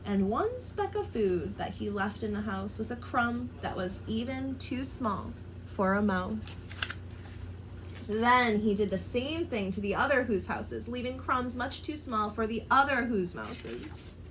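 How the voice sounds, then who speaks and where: A woman's voice: a little high, a little slow, slight background noise, low-quality recording. Woman reading a rhyming storybook aloud. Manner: expressive, sing-song rhyming delivery. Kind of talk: reading a children's book aloud.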